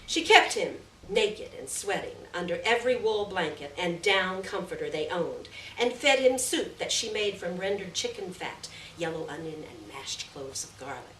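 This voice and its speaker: A woman reading a literary short story aloud at a live event, with measured, deliberate phrasing and stressed words. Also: lowish voice, lively intonation, a bit bright. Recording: distant roomy live recording, faint noise.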